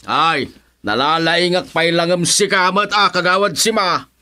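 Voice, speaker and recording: A man's voice: high voice, measured pace, pushed and bright, slight background noise, full character voice. A man with an Ilocano accent playing a cocky, teasing village councilman in a radio comedy-drama, full of exclamatory banter. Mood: mocking.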